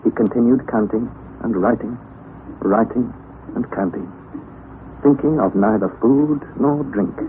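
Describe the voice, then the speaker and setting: A young actor playing a wry, anxious nephew who narrates the story, in a 1960s radio drama on a tinny, lo-fi broadcast recording. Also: male voice, mid-range voice, measured pace, long pauses, a little hiss or hum, lightly acted. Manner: earnest storytelling, rising to excited outbursts.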